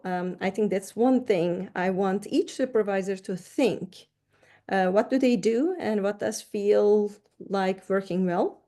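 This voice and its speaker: Middle-aged woman from Turkey, gravelly and warm, thoughtful interview guest who restarts words. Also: mid-range voice. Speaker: counseling professor, guest. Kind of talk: interview answers.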